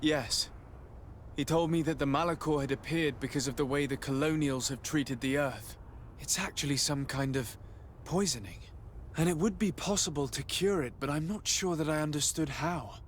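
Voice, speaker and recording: An actor playing an earnest, dutiful young noble envoy in a game, speaking sincerely with formal restraint. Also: male voice, a little high, normal speed, a little hiss or hum, natural voice. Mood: pensive. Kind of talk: game cutscene acting.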